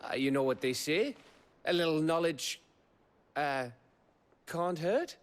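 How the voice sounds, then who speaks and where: A loyal fighting companion in a fantasy video game. His voice sits a little high for a man, and his talk is blunt, informal and plain. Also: lively intonation, slow, clean tone. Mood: sheepish. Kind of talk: scripted game cutscene acting.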